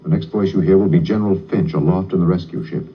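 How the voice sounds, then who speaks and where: A man's voice: sits low, fairly even intonation, fast talker, noisy background, lightly acted. Earnest actor as a radio newsman, dull vintage recording. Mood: tense, hushed. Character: earnest, excitable male radio news reporter. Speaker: radio reporter. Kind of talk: 1950s radio drama acting.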